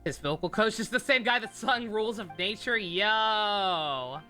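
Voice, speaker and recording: A man giving casual live stream commentary on a game, rambling, halting and often correcting himself. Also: sits high, lively intonation, bright, forceful tone. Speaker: game livestreamer. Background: game music.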